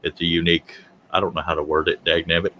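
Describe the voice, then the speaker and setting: Man, 50s, low-pitched, self-deprecating livestream host; faint background hum. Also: monotone, fast talker, highs cut off. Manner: joking, self-deprecating, rambling. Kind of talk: casual chat.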